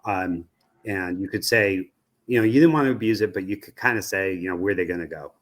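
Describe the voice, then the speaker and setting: An older American man with a fairly low voice speaks as an interviewed expert. He explains things analytically, building his sentences in halting pieces and circling back to repeat a phrase. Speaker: guest, media research executive.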